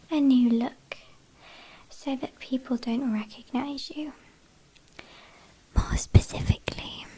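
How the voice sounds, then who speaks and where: A British woman playing an ancient, possessive, haughty vampire in a whispered ASMR roleplay, speaking softly with quiet menace and condescension. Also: sits high, full character voice, poor audio. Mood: condescending, haughty. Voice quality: soft breathy whisper.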